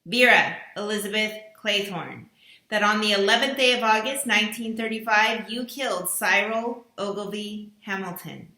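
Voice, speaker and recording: A woman reading out a formal list of accusations in a slow, declaiming voice. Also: mid-range voice, pushed and bright, roomy sound, low-quality recording. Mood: accusing.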